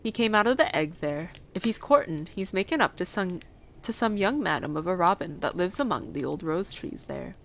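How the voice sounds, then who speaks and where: A woman's voice: fairly low pitch, lively intonation, moderate pace, a bit bright, a little hiss or hum. A woman voicing a surly, plain-spoken old gardener in a storybook reading. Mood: matter-of-fact. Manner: blunt, gruff dialect speech. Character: surly, blunt old Yorkshire gardener man.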